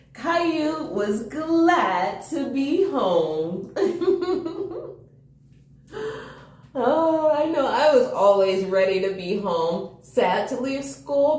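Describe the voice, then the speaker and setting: A woman reading a children's story aloud with enthusiastic, expressive delivery and chatty asides to her young listeners. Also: a little high. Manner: expressive, enthusiastic, chuckles often. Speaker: storytime reader. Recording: roomy, echoing room.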